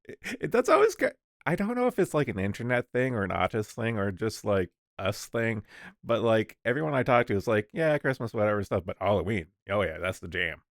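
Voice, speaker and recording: A man's voice: on the low side, big pitch swings, a little fast, no echo, studio quality. A man hosting a casual online stream chat, rambling and restarting his sentences while he sets up and talks. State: coughing lately, sick. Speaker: streamer host.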